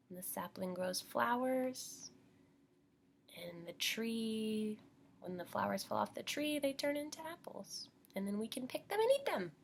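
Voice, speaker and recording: A woman's voice: mid-range voice, creaky, slight background noise. An American woman teaching young children as she reads a picture book aloud, explaining simply and putting questions to her listeners.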